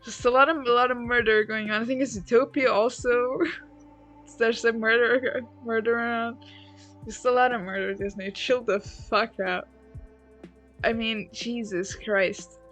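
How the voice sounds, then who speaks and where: A woman's voice: a little high, fairly even intonation, a little slow, fairly bright tone, soft background music, poor audio. A woman whose first language is Portuguese, rambling casually and swearing now and then as she chats while building a toy set. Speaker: LEGO build vlogger.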